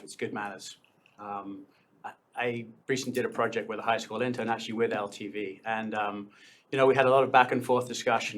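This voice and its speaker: Man answering debate questions. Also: mid-range voice. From born in India, grew up in London. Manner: earnest, many um fillers. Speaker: town board candidate.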